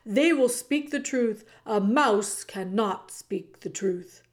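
A woman voicing a loud, stubborn, grieving king who shouts and refuses to listen. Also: mid-range voice, lively intonation, slow pace. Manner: bellowing, stubborn.

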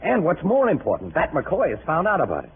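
An actor with an American accent playing a brash, cocky crime photographer in an old-time radio drama, slangy, pushy and sure of himself. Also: male voice, fairly high pitch, wildly swooping pitch, a little fast, husky, soft background music, full character voice. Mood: cocky scheming. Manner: slangy, pushy, self-assured. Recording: scratchy old radio broadcast, thin phone-like band.

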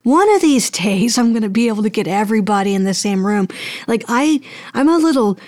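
A woman's voice: a little high, expressive, fairly quick, a little mellow, no echo, clean studio sound. A woman speaking casually as an interview guest, thinking aloud in a hesitant, rambling way. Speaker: guest, audio drama producer and voice actor.

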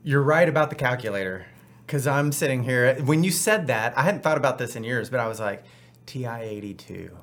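A man's voice: mid-range voice, expressive, fairly quick, a bit bright. A man hosting a podcast, upbeat and chatty with rambling asides. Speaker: podcast host. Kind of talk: casual podcast chat.

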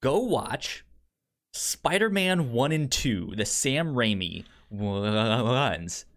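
A podcast co-host in relaxed film conversation, speaking at a slow pace. The speech stammers often, with words and first syllables repeated before the thought moves on. The style is casual and unscripted. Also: mid-range voice. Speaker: podcast co-host reviewing films.

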